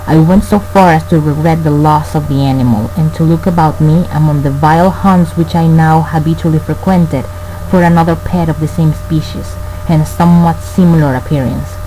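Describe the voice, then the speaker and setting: An actor playing a tormented man narrating a gothic horror tale, formal, grave and measured in a radio play. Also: on the high side, fairly even intonation, warm tone, lightly acted, poor audio. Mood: brooding. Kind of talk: scripted dramatic narration. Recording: faint background noise and hum.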